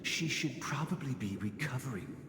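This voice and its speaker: An actor playing a composed, formal man who delivers grave news in measured, eloquent phrases for a game. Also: mid-range voice, expressive, natural voice. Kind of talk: scripted game voice acting. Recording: echoey hall reverb under loud music.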